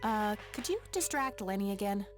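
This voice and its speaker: A woman's voice: medium pitch, animated, moderate pace, natural voice. A woman voicing a blunt, sardonic young woman detective in a video game, with music playing behind her. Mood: dry. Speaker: detective heroine of an adventure game.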